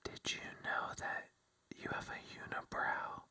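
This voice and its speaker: A vlogger telling a rambling, casual story in a breathy ASMR whisper, full of fillers like "like" and "you know.". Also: a little slow, bright, forceful tone. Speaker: vlogger addressing viewers.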